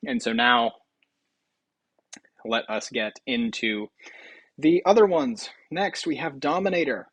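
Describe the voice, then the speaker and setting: A man giving casual gaming commentary as a host, in a medium-pitched voice. He slips into mock-grandiose, tongue-in-cheek announcing, recorded close and dry. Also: lively intonation, slow, pauses often, fairly bright tone, husky, dry recording.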